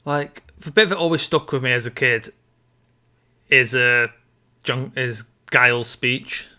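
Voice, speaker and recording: Male podcast co-host, mid pitch, crisp and bright, casual chatty delivery. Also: unhurried. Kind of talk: casual film discussion.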